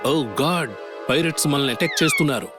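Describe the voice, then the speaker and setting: A man's voice: on the high side, fast, loud music behind the voice, close to an everyday voice. A man voicing an alarmed cartoon ship crewman with an urgent, dramatic manner. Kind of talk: cartoon dub acting.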